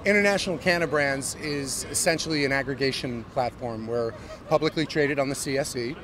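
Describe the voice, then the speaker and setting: A middle-aged American man, a company CEO, giving a fluent corporate pitch with frequent ums and uhs in a street interview. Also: fairly quick, crowd noise. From United States. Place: busy downtown street outdoors. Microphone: handheld mic held by interviewer. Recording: outdoor street with traffic noise. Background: city traffic.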